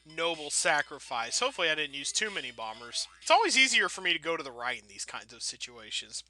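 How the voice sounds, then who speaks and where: A man's voice: fairly high pitch, very animated, quick pace, sharp tone, low-quality recording. A man giving casual, rambling commentary as he plays a game, playful and full of folksy mild exclamations. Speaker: gameplay video host.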